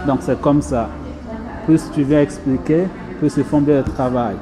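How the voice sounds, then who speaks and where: A man's voice: medium pitch, steady tone, measured pace. Frenchman giving a testimonial over music. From France. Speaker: pastry chef and business owner being interviewed. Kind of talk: casual personal testimonial.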